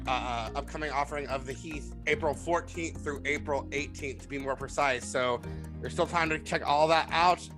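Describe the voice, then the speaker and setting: A man presenting a promotional announcement as a friendly step-by-step walkthrough, partly scripted. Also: on the high side. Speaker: announcer, theatre community engagement manager. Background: music.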